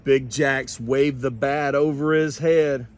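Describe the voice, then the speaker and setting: An older man reading a children's storybook aloud with expressive, deliberate storytelling. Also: mid-range voice. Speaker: grandparent storyteller. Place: outdoors.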